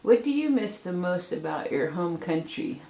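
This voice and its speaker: A woman's voice: fairly low pitch, lively intonation, moderate pace, vocal fry, warm tone. A young woman conducting a student interview, reading prepared questions with brief reactions. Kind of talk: casual interview. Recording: echoey room.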